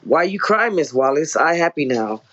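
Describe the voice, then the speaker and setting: A man's voice: fairly high pitch, sing-song, normal speed, fairly bright tone, muffled recording. A man voicing an innocent, shy, affectionate little boy in a read-aloud story, with childlike broken grammar. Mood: sweet, happy.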